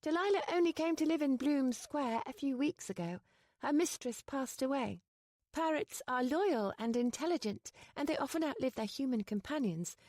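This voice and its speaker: A woman's voice: high voice, lively intonation, moderate pace, a little mellow, dry recording, clean studio sound, voice pushed up. A woman voicing a flustered, chatty young lady of good breeding in a raised, breathless and fluttery voice.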